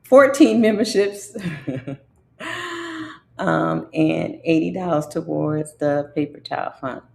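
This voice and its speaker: A woman's voice: low-pitched, slow pace, creaky, warm tone. A middle-aged woman with a congested voice, hosting a casual livestream and chatting in a drawling way with asides to her viewers. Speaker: livestream host.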